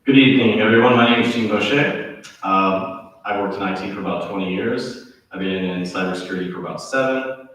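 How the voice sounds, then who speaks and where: A man's voice: on the low side, brisk, a bit bright. A man working as a cybersecurity analyst, introducing himself on a panel in a plain, conversational way. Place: lecture theatre. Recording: echoey room from far off.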